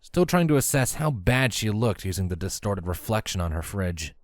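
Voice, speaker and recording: A man's voice: on the low side, very animated, normal speed, dry recording, clean studio sound. A man reading scripted story narration as the narrator of a fan fiction tale.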